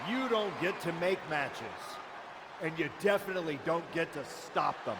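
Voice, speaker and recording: Man playing a commanding high-voiced wrestling official. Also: a loud crowd, heavy background noise, natural voice. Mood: stern authority. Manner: commanding, deliberate. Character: firm male wrestling authority figure announcing a match. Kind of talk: in-ring announcement in a video game.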